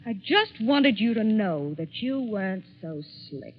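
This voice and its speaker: A woman's voice: medium pitch, sing-song, a little slow. An actress playing a jilted woman coldly bent on revenge, controlled and bitter, in 1940s radio suspense style. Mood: hurt, accusing. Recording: dull, band-limited 1940s radio recording.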